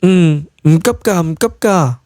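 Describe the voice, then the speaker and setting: A man voicing an earnest, curious young woman in Cantonese, speaking in a soft, hesitant, wondering way for an audio drama. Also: high voice, expressive, unhurried, dry recording, clean studio sound, voice pushed up. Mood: reassuring.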